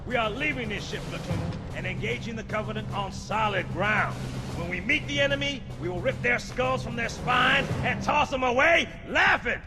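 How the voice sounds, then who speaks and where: High-pitched barking Marine sergeant. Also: male voice, normal speed. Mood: fierce, rousing. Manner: barking drill-sergeant delivery. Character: hard-bitten male Marine sergeant, brash, rousing, profane. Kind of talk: military game cutscene acting. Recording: loud music behind.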